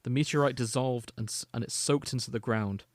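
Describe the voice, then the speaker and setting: A man's voice: medium pitch, fairly quick, no echo. A man hosting a podcast, reading and summarizing fan fiction as a storyteller and slipping into casual asides. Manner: storytelling, alternating read-out prose with casual asides. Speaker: podcast host.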